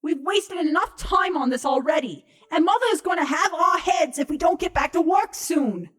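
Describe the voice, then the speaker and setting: Woman voicing a high-pitched sarcastic young changeling. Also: lively intonation, normal speed. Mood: exasperated pleading. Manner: sarcastic, whiny, emphatic. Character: sarcastic, exasperated young female changeling, insect-like pony creature. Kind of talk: audio drama fan reading.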